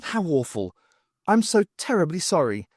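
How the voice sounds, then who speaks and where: A pompous, eccentric art professor, as a male narrator plays him in an audiobook. He is furtive and florid, speaking in a fairly high, very animated voice with a dry, close sound. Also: moderate pace, husky, no echo. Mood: startled, sympathetic.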